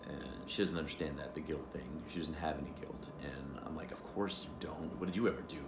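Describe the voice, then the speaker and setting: A man's voice: a bass voice, fairly quick, vocal fry, heavy background noise. A man playing a sardonic, guilt-ridden tiger ghost. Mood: wry. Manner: sardonic, profane storytelling. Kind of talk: stage monologue. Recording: echoey room.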